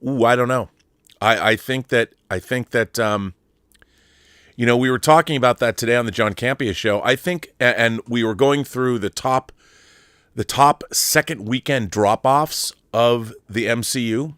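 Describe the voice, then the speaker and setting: A man's voice: mid-range voice, normal speed, fairly bright tone, clear, resonant tone, dry recording, studio quality. A man hosting a live show in casual chat, rambling with frequent restarts and chuckles. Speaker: host.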